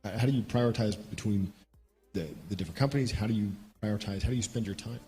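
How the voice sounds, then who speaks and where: A man's voice: on the low side, fast talker, mellow, faint music behind. A man interviewing a guest on stage, reading audience questions and rephrasing his own questions as he asks them. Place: live event stage.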